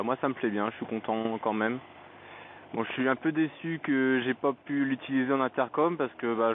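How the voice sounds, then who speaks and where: Man, casual gear review, hesitant delivery, medium pitch, thin phone-like sound, light vehicle noise. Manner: hesitant, searching for words. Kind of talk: casual unscripted product review. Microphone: Bluetooth motorcycle helmet headset microphone. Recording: tinny narrow-band helmet intercom mic, background noise.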